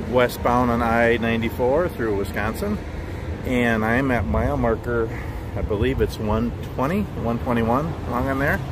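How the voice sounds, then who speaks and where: A middle-aged man, chatting casually and folksily in a rambling outdoor vlog. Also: medium pitch. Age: middle-aged, 50s. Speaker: vlogger, truck driver. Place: outdoors at a highway rest area. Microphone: handheld phone or camera at arm's length. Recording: outdoor handheld recording with clear background noise.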